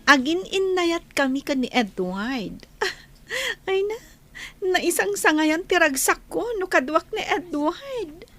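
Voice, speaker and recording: High-pitched, giddy Ilocano schoolgirl, acted by a woman. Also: very animated, slow, pushed and bright, exaggerated voice. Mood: giddy, lovestruck. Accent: Filipino, Ilocano. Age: young adult. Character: giddy, lovestruck, defiant schoolgirl daughter. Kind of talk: Ilocano radio comedy drama acting.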